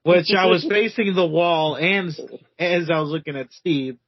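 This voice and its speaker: A man with a somewhat high voice, rambling hesitantly as he recounts a story on a podcast. The sound is dry and dull, lo-fi. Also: moderate pace, dry recording. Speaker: podcast co-host, wrestler. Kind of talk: casual chat, storytelling.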